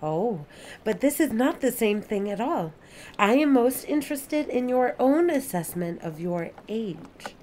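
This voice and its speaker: A high-voiced young alien observer, acted by a woman, earnest and curious. The phrasing is formal, precise and a little stilted, as in an audio drama. There is some noise behind the voice. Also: sing-song, unhurried, a little mellow. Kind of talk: audio play acting.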